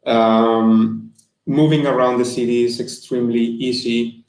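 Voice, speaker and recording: A man answers interview questions over a video call. His voice sits in a middle pitch and he speaks earnestly, often restarting a phrase. The sound is roomy, with an echo from the room around him. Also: little pitch movement, a little slow, a little mellow. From Colombia. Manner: earnest and thoughtful, with frequent restarts. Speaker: guest, marketing manager. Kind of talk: interview answers on a live stream. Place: room indoors.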